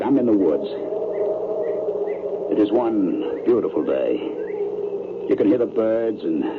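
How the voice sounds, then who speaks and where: A pushy american builder type in an old radio drama, voice swings up and down a lot, tinny sound. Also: male voice, sits low, noisy background, full character voice. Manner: plain-spoken, colloquial, insistent. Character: brash, uneasy American builder recounting a recurring nightmare.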